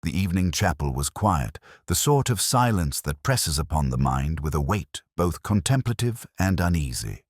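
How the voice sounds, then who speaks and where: A contemplative detective inspector played by a man recounts his case in a very low voice, recorded dry and close. His delivery is formal and measured, with lively rises and falls, in the manner of a literary mystery story. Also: dry recording, full character voice. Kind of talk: scripted first-person detective story narration.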